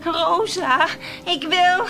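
A woman's voice: extremely high pitch, drawn-out words, over music, full character voice. An actress in a radio play, playing a bitter, lonely woman who resents caring for her brother, with a brittle, intense manner. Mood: bitterly mocking. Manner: brittle and intense, mimics others mockingly.

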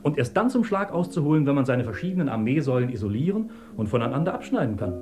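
Actor as eager chatty male assistant, very animated. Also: medium pitch, fast talker, over music, close to an everyday voice. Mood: chatty, opinionated. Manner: chatty, ingratiating, deferential to his superior. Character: eager, talkative pro-Soviet male assistant at a research institute. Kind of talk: German radio play acting.

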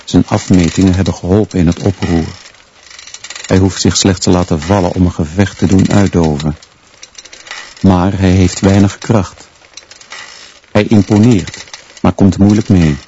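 Brooding radio drama narrator, deep male voice, solemn, slow, measured. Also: steady tone, dark tone. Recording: dull, faintly noisy recording.

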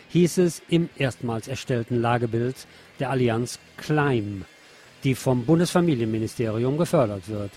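A man's voice: medium pitch, warm tone. A man reading scripted news and press reports as a radio presenter.

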